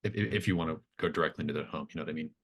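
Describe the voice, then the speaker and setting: A man with a low voice runs a tabletop horror roleplaying game. He improvises scene narration in halting, conversational pieces, thinking aloud as he goes. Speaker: game master running a tabletop horror RPG.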